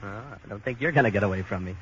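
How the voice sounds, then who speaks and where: A man's voice: on the low side, quick pace, fairly bright tone, lightly acted. An actor playing a cocky young tourist in a 1940s radio drama. He wisecracks in slangy, brash talk. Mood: swaggering. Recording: lo-fi 1940s radio broadcast with faint hiss.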